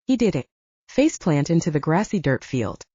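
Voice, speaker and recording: A woman's voice: sits low, sing-song, normal speed, mellow, slightly rough, no echo. A woman narrating a scripted first-person story as an audiobook narrator, in a slightly dull recording.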